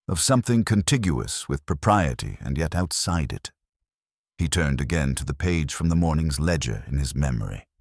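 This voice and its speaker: Very deep, measured male narrator. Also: husky, no room sound, studio quality. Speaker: narrator of a detective story. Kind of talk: scripted literary audiobook narration.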